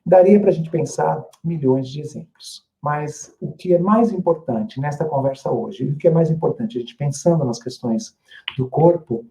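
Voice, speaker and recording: Slow, emphatic Brazilian male lecturer. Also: on the high side, vocal fry, warm tone, poor audio. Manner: slow, emphatic, repeats points to the audience. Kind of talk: recorded lecture to teachers.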